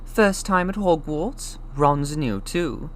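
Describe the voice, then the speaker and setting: A man voicing a plump, kindly middle-aged mother in an audiobook, brisk, motherly and reassuring. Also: on the high side, expressive, a little hiss or hum, voice pushed up. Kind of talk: audiobook character voice.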